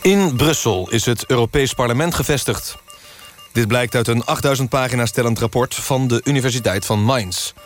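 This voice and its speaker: A man playing a serious radio newsreader, delivering a scripted satirical bulletin in deadpan fashion. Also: mid-range voice, lightly acted. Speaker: news presenter.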